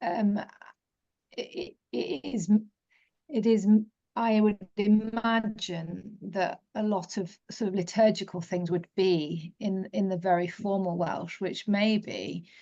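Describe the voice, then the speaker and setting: A woman's voice: mid-range voice, fairly even intonation, slow pace, warm tone. A middle-aged woman in a discussion group, thinking aloud hesitantly with many fillers. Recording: dull lo-fi sound.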